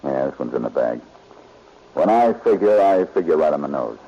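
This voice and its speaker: An actor playing a confident, smooth-talking detective who questions pointedly, in a 1940s radio drama. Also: male voice, very deep voice, very animated, moderate pace, warm tone, full character voice. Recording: dull, noisy old radio recording.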